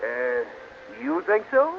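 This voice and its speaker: Stuffy civic bigwig for radio comedy: higher-set voice, hemming formality, faintly noisy old broadcast. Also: male voice, wildly swooping pitch, takes it slowly, vocal fry, exaggerated voice. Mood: flattered. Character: stuffy civic-minded gentleman, easily charmed by flattery. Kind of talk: old-time radio sitcom acting. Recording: muffled old radio recording, faint noise.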